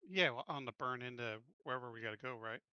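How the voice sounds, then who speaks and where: A man's voice: medium pitch, fast, clean tone, dry recording, dull audio. A man chatting casually as a player in a tabletop roleplaying game. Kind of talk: casual chat.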